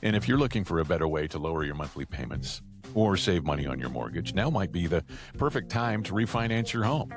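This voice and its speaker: A man's voice: low-pitched, sing-song, brisk. A man hosting a podcast, delivering a scripted promotional read for sponsors. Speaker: podcast host reading sponsor spots. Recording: background music under voice.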